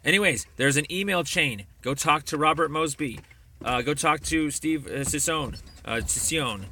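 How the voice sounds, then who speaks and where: A man leaving a rambling complaint voicemail, speaking in an insistent, lecturing, emphatic and halting way. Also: on the high side, pushed and bright, a little hiss or hum.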